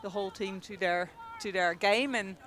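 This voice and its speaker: A woman's voice: medium pitch, steady tone, normal speed, fairly bright tone, a little hiss or hum. A young Dutch woman with a Dutch accent, chatting casually as a guest commentator at a hockey game, with lots of ums and laughs. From Netherlands. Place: outdoors at a field.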